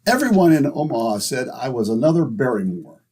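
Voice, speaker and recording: A man's voice: medium pitch, sing-song, fairly quick, darkish tone, husky. A man playing a pompous neighbor who fancies himself a Shakespearean actor, declaiming theatrically in old-time radio comedy. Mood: indignant, pompous.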